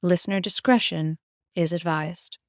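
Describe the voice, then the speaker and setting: A woman's voice: on the low side, steady tone, unhurried, heavy background noise. A woman announcer reading a scripted content advisory in a formal narration style.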